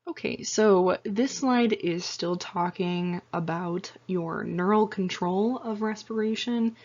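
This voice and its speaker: A woman instructor talking through lecture slides in a casual, chatty way with lots of fillers and self-corrections, her voice getting tired and hoarse. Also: mid-range voice, slow pace, darkish tone, highs cut off. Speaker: anatomy and physiology instructor.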